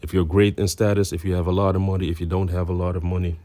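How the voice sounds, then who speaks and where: A man's voice: sits low, level tone, quick pace, mellow. A man with a Dutch accent, a native Dutch speaker, giving a preaching commentary as he reads aloud, earnest and peppered with "you know" and "you see.". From Netherlands. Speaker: religious commentator.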